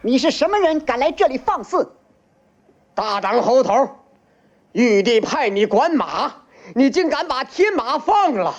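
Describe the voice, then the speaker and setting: A performer in an old animated film voicing a scornful, high-pitched mythical monkey-world character with theatrical defiance. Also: a little slow, full character voice. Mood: scornful, defiant. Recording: muffled old film soundtrack.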